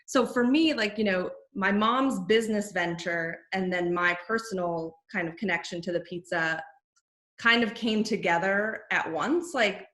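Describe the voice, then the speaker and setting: A woman's voice: medium pitch, a little slow, a bit bright. A woman, chatting as a podcast guest with many fillers and restarts. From United States. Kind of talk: casual interview chat.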